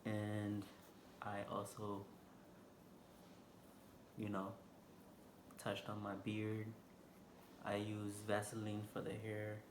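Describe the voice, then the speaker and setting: Haitian guy vlogging, low monotone voice, echoey room w noise behind. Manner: slow, halting, many fillers. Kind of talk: unscripted casual vlog talk. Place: indoor room, seated on a stool.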